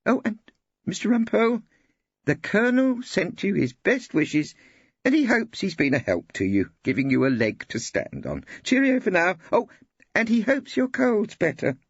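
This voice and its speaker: An audiobook matron, middle-aged and briskly cheerful, acted by a man. The pitch swoops high and chatty with a prim edge, and the recording is slightly dull. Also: sing-song, creaky, slightly rough, dry recording, heavily acted. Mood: chirpy.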